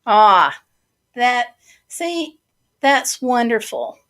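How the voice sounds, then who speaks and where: Slow, chatty woman losing her voice. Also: fairly high pitch, lively intonation, a bit bright. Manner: slow, chatty, many fillers. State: losing her voice, throat clearing. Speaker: livestream host. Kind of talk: casual livestream chat reading viewer comments.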